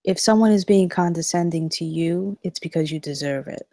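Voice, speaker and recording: A woman acting a shaken but caring flight attendant in a film table read, earnest and quietly persistent. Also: lowish voice, moderate pace, no room sound, clean studio sound, close to an everyday voice. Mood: dry.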